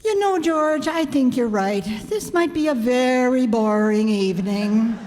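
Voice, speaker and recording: A woman's voice: fairly high pitch, expressive, unhurried, darkish tone. A woman acting a jealous, wisecracking housewife in an old-time radio sitcom, with exaggerated comic expression. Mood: jealous, sardonic. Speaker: amateur radio player. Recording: echoey hall stage performance.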